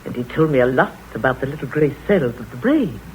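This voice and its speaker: An actress playing a curious, gossipy spinster sister with an eager manner, in a 1940s radio drama. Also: female voice, very deep voice, animated, a little fast. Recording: dull old radio broadcast with faint hiss.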